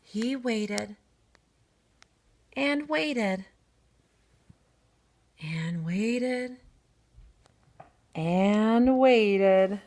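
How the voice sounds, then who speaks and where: A woman reading a children's storybook aloud in expressive narration. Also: medium pitch, drawn-out words, pauses often. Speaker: storybook reader.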